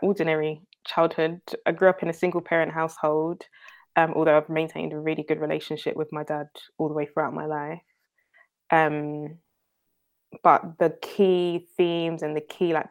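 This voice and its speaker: A young woman from North London with a London accent, chatting as a guest in an interview, laughing now and then and using "like" a lot. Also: low voice. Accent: London English. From North London, England. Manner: chatty, laughs often, leans on "like" and "um". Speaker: guest entrepreneur. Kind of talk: casual interview talk.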